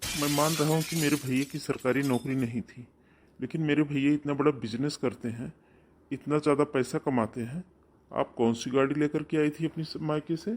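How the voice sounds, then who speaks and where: Man voices an earnest cartoon groom, husky and gentle. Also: a little high, fairly even intonation, normal speed, lightly acted. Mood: defiant. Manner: soft, reassuring. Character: earnest young groom, loyal and sincere.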